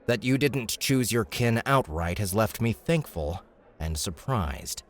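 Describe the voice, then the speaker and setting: A man's voice: fairly low pitch, lively intonation, slow pace, pitched above the performer's own voice. A man voicing a shrewd, smug young noble in an audiobook, speaking in a glib, formal, commanding way. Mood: measured, charming. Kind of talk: audiobook character dialogue.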